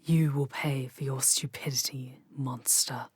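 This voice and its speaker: A woman's voice: very deep voice, steady tone, measured pace, darkish tone, husky, no room sound, clean studio sound, full character voice. A woman voicing a proud, sardonic, protective female demon in an ASMR audio drama, speaking with haughty command and dropping into whispers. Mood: menacing, haughty.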